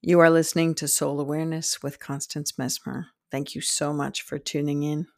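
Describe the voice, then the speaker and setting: A woman narrating a guided meditation as host, in a soothing, deliberate manner with pauses between short phrases. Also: low voice, little pitch movement, creaky.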